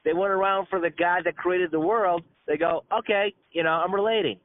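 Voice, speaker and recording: A man's voice: high-pitched, steady tone, brisk, no echo. Man teaching over a tinny phone line. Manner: conversational storytelling, stammering repetitions, colloquial asides. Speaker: rabbi teaching a class. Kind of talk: informal religious teaching by conference call. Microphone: telephone conference call.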